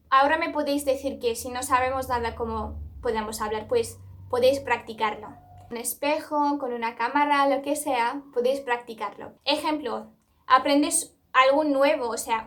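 A young woman from Turkey with a Turkish accent, a native Turkish speaker, talking casually to camera as a vlogger, chatty and earnest with frequent restarts. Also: fairly high pitch.

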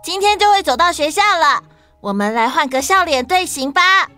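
A woman's voice: extremely high pitch, animated, normal speed, pushed and bright, soft background music, voice pushed up, full character voice. A woman voicing an eager, inventive young ant child in a children's story. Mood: eager, proud.

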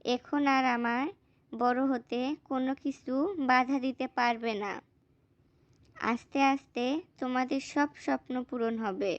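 A woman's voice: on the high side, steady tone, measured pace, no echo, lo-fi sound, lightly acted. A woman playing an affectionate, determined young student daughter in a cartoon. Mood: joyful. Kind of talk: acted cartoon dialogue.

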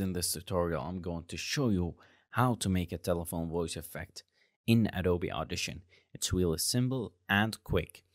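A man in his twenties narrates a software tutorial. His voice is low, and the recording is very dry. Faint music plays behind him as he guides the listener through each step. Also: no room sound. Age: 20s. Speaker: tutorial host.

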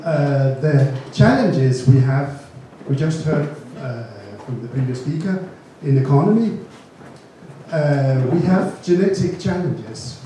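Middle-aged Danish speaker at a seminar, higher-pitched, heard far off in a noisy, reverberant room. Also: male voice, warm tone. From Denmark. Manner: informal, self-correcting, with handheld mic. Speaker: gene bank speaker. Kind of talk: seminar talk to an audience. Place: large room with seated audience. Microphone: handheld mic, far camera. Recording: echoey room recorded from afar.

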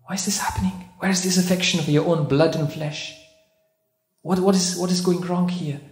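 A man's voice: sits high, steady tone, fairly quick. Man preaching in an echoey mosque hall. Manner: rhetorical questions to the audience, recites Arabic verses. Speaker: visiting speaker at a mosque conference. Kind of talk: sermon-style lecture. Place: carpeted mosque prayer hall, indoors.

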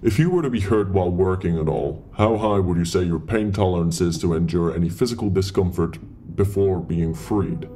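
A man's voice: sits low, a little fast, hardly any pauses, warm tone, slight background noise, lightly acted. A man acting a cold, detached company interviewer in a horror drama, speaking in a formal, clipped way. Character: cold, businesslike male company interviewer with sinister intent.

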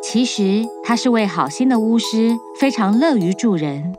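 A woman narrating a cartoon fairy tale in an even, clear storytelling voice. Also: a little high, moderate pace. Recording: narration over music.

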